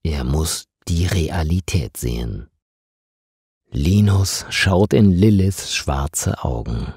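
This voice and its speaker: A man narrating a scripted story for language learners in deliberate, simple short phrases. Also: extremely low pitch, expressive, darkish tone, husky, dry recording, clean studio sound.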